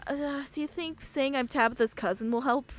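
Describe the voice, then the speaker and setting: A woman's voice: high voice, moderate pace. A woman doing casual game commentary as a streamer, chatty and hesitant as she thinks aloud. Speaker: streamer playing a visual novel.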